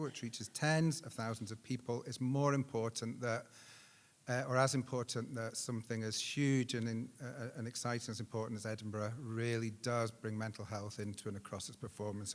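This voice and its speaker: A middle-aged man, a charity director, giving an informal, self-deprecating and halting unscripted speech to announce an award. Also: medium pitch. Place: large reverberant hall at a live ceremony. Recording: echoey hall with long reverb.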